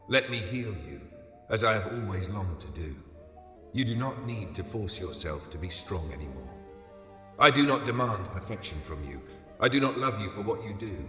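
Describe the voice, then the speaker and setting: Guy reading an inspirational god message, solemn and soothing, crisp bright voice, big reverb. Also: on the low side. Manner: solemn, soothing, slow deliberate short phrases with repetition. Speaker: devotional narrator speaking in the voice of God. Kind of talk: scripted inspirational religious narration. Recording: echoey, long reverb over music. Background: music.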